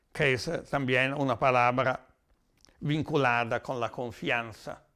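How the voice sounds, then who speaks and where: A man with an Italian accent giving a reflective, explanatory talk in a language that is not his native one, sometimes halting as he searches for words. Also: a little high, fairly bright tone. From Italy. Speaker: lecturer.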